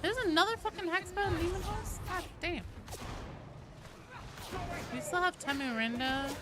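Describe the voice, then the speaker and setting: A woman's voice: extremely high pitch, unhurried, noisy background. A woman doing casual live gaming commentary, informal and sweary, chatting with her viewers. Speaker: game streamer, host.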